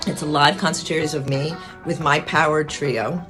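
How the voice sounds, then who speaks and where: A woman's voice: low voice, fairly even intonation, normal speed. Woman narrating over music. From Ecuador. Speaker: singer and activist. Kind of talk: scripted promo narration.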